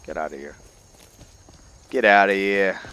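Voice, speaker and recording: A man giving casual, somewhat halting commentary over gameplay as the host of a gaming video. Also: low voice, bright, forceful tone, slight background noise.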